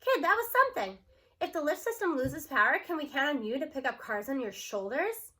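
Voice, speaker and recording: An admiring, teasing car mechanic from a storybook, put on by a woman reader. The delivery is high and bright, full of joking praise. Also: sing-song, a little fast. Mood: impressed.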